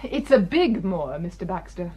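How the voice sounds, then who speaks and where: A guarded female village doctor in a radio murder mystery. Her husky voice moves sharply up and down, and her manner is brisk, clipped and defensive. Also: lowish voice, sing-song, mellow. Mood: defiant. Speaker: actor. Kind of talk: radio drama acting.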